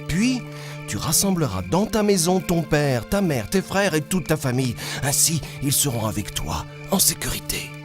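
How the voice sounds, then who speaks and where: A man playing a patient storyteller in a children's cartoon, telling Bible stories expressively over music. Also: on the high side. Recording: music behind the voice.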